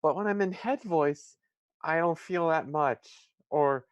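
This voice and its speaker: A person in casual group chat, relaxed and agreeable, thinking aloud with a few restarts. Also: male voice, a little high, slow pace, no echo, dull audio. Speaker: podcast host.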